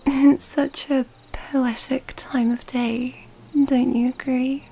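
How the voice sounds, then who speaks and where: High, slow, whispery seductive vampire woman, acted out. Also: flat delivery, heavily acted. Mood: dreamy, admiring. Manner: soft-spoken, breathy, often dropping into whispers; flirtatious, poetic, genteel. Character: elegant, seductive female vampire at a masquerade ball. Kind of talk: ASMR roleplay, intimate scripted monologue. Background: background music.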